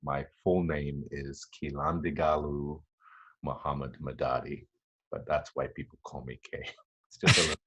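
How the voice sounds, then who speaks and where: A man in his fifties, originally from Tanzania, speaking as a podcast guest in a halting, reflective way with many fillers and restarts. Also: very deep voice, flat delivery, a bit bright, breathy edge, dull audio. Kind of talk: casual interview chat.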